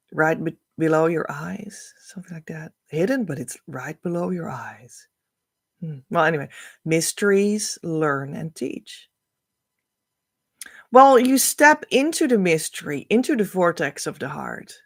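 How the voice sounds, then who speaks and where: A middle-aged Dutch-native woman speaks slowly and thoughtfully into a close webcam, giving a spiritual card reading. Her voice sits a little low, and she lets it drift between soft asides and louder emphasis. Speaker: spiritual coach. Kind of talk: oracle card reading to camera.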